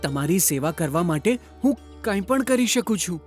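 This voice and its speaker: Man playing a meek, high-voiced young devotee. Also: expressive, moderate pace, faint music behind. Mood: meek, eager. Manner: meek, pleading. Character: young village boy posing as humble devotee. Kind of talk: children's cartoon voice acting.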